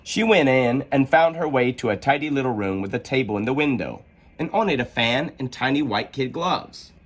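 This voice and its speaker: Husky, animated male storyteller. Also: mid-range voice, normal speed. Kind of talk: expressive children's storybook narration.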